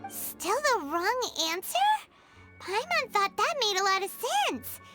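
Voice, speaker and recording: A woman voicing a small, excitable, childlike fairy companion in a video game, earnest and puzzled. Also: very high-pitched, slow pace, loud music behind the voice, exaggerated voice. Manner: refers to herself by name, exclamatory, puzzled. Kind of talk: video game character dialogue.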